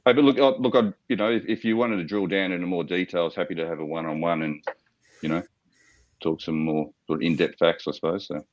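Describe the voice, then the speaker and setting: A man with an Australian accent pitching his startup to investors, stopping often and repeating words as he explains. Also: fairly even intonation, no echo, lo-fi sound. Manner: halting, repeats words, frequent fillers. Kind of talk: business pitch Q&A.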